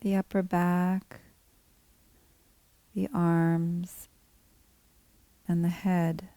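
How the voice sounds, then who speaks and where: Female meditation guide: relaxed dark tone, very unhurried, calm measured instruction. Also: fairly low pitch, no echo. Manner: calm, unhurried, gently measured phrasing with pauses. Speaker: meditation teacher guiding a body scan.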